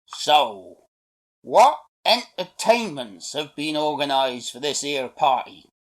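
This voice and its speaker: A woman voicing an old male badger, a gruff, folksy elder in a children's story. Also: low voice, expressive, takes it slowly, heavily acted. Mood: gruff, expectant.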